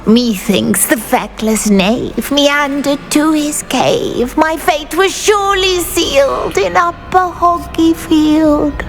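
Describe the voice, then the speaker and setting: A woman's voice: high voice, slow pace, few pauses, faint music behind. A woman playing a deranged, tormented prisoner in a video game, rambling in sing-song, repetitive rhymes. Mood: manic, excited. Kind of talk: video game character acting.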